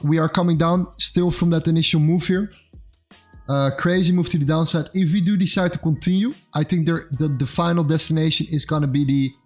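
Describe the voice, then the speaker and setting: A man's voice: a little high, a little mellow. A man in his late twenties hosting a live trading stream, upbeat and casual, calling people bro and saying amazing a lot. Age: 20s. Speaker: livestream host and trader. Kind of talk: casual livestream chat and market commentary.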